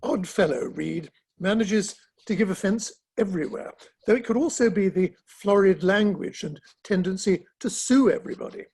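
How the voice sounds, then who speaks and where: A gossipy, bemused Victorian literary gentleman performed by a man with a notably high, rough-edged voice. He speaks in clipped, droll phrases, as in a staged reading of a period play. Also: moderate pace. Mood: droll disapproval. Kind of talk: dramatic play reading over the internet.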